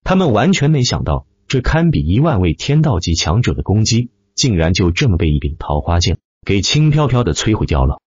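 Male audiobook narrator, low and husky, theatrical scripted delivery, close dry sound. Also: sing-song, fairly quick, few pauses, darkish tone, dry recording, muffled recording. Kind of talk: dramatic scripted web-novel narration.

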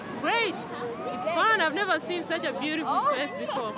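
A woman from Africa talking excitedly about seeing the ocean for the first time, outdoors. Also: very high voice, moderate pace, over a noisy crowd, very noisy. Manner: excited, lively. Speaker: camp participant.

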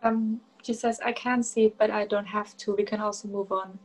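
A young woman answering quietly and briefly in a video call session. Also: mid-range voice, fairly even intonation, moderate pace, soft background music, low-quality recording. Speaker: client.

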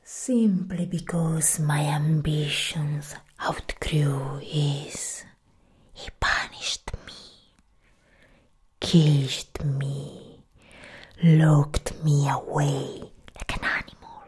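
A young woman playing a proud, menacing goddess of death in a soft-spoken ASMR roleplay, breathy and whispered, close to the mic. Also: sits low, level tone, heavily acted. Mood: bitter. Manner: slow, deliberate, menacing. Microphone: close ASMR microphone.

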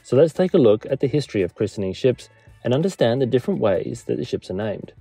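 A man's voice: mid-range voice, big pitch swings, fast, warm tone. A man presenting a scripted history documentary as a narrator and lecturer. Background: background music.

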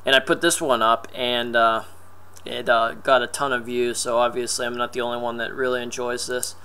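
Guy doing a casual video intro, smooth clean voice. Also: medium pitch, flat delivery, normal speed, sharp tone. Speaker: video host.

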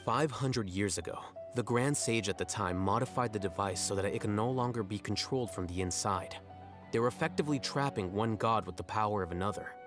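A man playing an aloof, arrogant young scholar in a video game, composed and deadpan, with precise, formal diction. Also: fairly low pitch, fairly quick, close to an everyday voice. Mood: calm, matter-of-fact. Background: game music.

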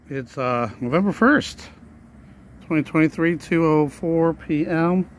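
A hoarse man talking casually while walking outdoors on a residential street, a little out of breath, rambling in a plain way and now and then coughing or sighing. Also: a little high, lively intonation, slow, a little hiss or hum. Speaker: vlogger. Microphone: handheld phone while walking.

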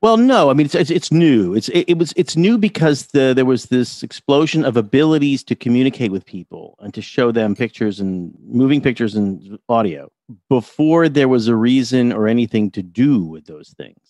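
A man's voice: mid-range voice, lively intonation, moderate pace, darkish tone, no echo. Wry talkative man, dry sound. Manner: talkative, wry, repeats words when starting a thought. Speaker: podcast guest, TV writer-producer. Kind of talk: casual interview chat.

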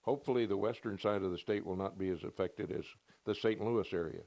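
Older man, very low voice, dry close sound, plain technical interview answers. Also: fast, creaky, darkish tone, no room sound. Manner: plain, matter-of-fact, technical. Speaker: ham radio operator interviewee. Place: home radio room, then parked truck. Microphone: reporter's handheld mic.

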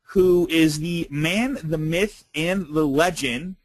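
A man's voice: a little high, takes it slowly, very noisy. A man hosting a webinar in a casual, effusive and enthusiastic way, chatting with his guest. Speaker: webinar host. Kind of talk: casual chat, introducing and prompting a guest.

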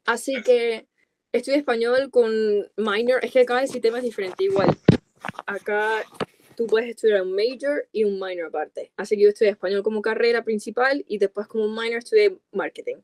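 A woman's voice: on the high side, brisk, background noise. A woman in her twenties from California, a native English speaker, chatting casually and colloquially as an interview guest. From United States, California. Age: 20s. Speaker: podcast guest, content creator. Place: small dark room with coloured lights, video call.

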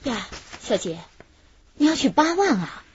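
A woman's voice: high voice, sing-song, unhurried, background noise. An actress playing a patient, businesslike female bank teller in a radio drama. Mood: polite, puzzled. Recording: dull radio drama track.

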